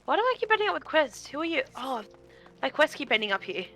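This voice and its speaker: A woman's voice: high-pitched, very animated, quick pace, pushed and bright. A woman co-streaming a video game, chatting casually as she plays and reacts. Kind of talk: casual chat while gaming. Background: game music.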